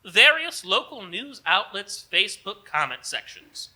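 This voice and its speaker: Male comedy co-host, forceful bright voice, animated range, snarky script-reading delivery. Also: high voice, a little slow, poor audio. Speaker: comedy show co-host and performer.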